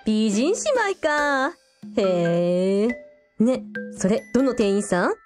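Breezy, animated young elder sister acted by a woman. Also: fairly high pitch, slow pace, soft background music. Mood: teasing. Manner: casual, playful, lively. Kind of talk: radio drama acting.

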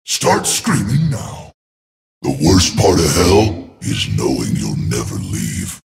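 A man's voice: very deep voice, wildly swooping pitch, slow, faint music behind, full character voice. A man voicing a huge, menacing demon gatekeeper of hell in a video game, growling and theatrical. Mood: menacing, commanding. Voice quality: gravelly, growling. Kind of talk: video game champion voice lines.

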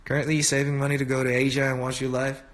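Young man on a phone live stream, relaxed comment-reading chat, dim room, easygoing mid-pitch voice. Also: quick pace. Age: young adult. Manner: relaxed, chatty, switching between English and Korean. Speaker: livestream host. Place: dim room indoors at night. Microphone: phone held close at arm's length.

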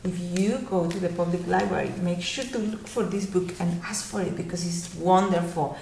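A woman in her thirties with a Mexican Spanish accent talks to children in a gentle, storytelling way, her low voice carrying a gravelly edge. She sits in her living room, recorded on a laptop webcam that picks up a roomy echo and a faint hiss. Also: steady tone, normal speed. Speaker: children's book author answering questions. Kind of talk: casual answers to a video interview.

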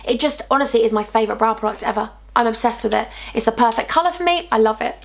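A woman's voice: on the high side, fast, creaky. A young woman, chatting bubbly and gushing in a casual beauty haul vlog, her voice a little worn. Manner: bubbly, gushing, animated with hands. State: slightly losing her voice. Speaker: beauty vlogger. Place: small indoor room with sofa.

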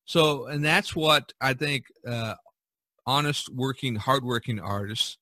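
An older man hosting a casual podcast discussion, speaking haltingly and repeating words as he searches for the next one. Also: mid-range voice, bright, forceful tone, no echo. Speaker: podcast host.

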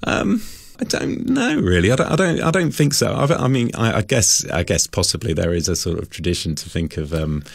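A man's voice: sits low, sing-song, a little fast, gravelly, few pauses, breathy edge, no room sound, clean studio sound. A man speaking as a guest in a casual radio interview, rambling a little and feeling his way through answers in a self-effacing manner. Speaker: interview guest, church musician.

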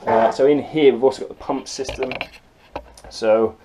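A man's voice: mid-range voice, fairly even intonation, moderate pace. A man in his forties giving a casual, matter-of-fact walkthrough of a small yacht's cabin, filmed on a close handheld camera in a cramped space. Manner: matter-of-fact, practical, with frequent ums. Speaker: sailor giving a boat tour. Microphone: handheld action camera close up. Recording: close camera mic with handling clicks and noise.

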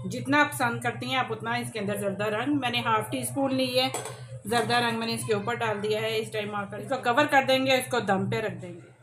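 A middle-aged woman explains a recipe in a friendly, chatty way, speaking straight to her viewers. Her voice sits a little high. The sound is roomy and echoey, with a faint hum of noise behind it. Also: a little fast. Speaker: cooking channel host. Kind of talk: step-by-step recipe demonstration. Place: home kitchen, indoors.

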